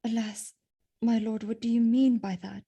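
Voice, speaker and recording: An actress playing an innocent, devoted and frightened young wife in a Shakespearean audio drama, speaking verse in an earnest, pleading way. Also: female voice, a little high, steady tone, normal speed, dark tone, slightly rough. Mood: subdued, uneasy.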